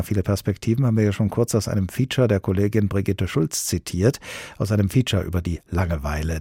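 A male radio host with a dark, slightly husky voice in a dry studio sound. He moves between halting, filler-laden questions and clean scripted announcements. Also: fairly low pitch, no echo. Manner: hesitant questions with many fillers. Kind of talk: radio talk show moderation.

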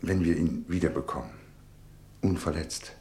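An actor playing an anxious, remorseful middle-aged husband and father in a German radio play, halting and emotional. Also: very deep voice, mellow, roomy sound, a little hiss or hum. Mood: brooding, remorseful.

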